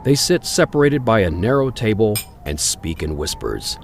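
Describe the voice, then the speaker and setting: A man with a very low, creaky voice narrates a period melodrama. His intonation rises and falls widely as he tells the story in deliberate, theatrical phrases. Faint ambient noise sits behind him. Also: big pitch swings. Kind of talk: dramatic scripted narration of a Victorian tale.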